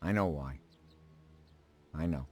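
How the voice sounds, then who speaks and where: An actor playing a tired, resigned older American mob accountant in a dramatic video game scene, halting and earnest. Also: male voice, a bass voice, full character voice. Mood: weary, pleading. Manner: weary, halting, pleading. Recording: music behind the voice. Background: background music.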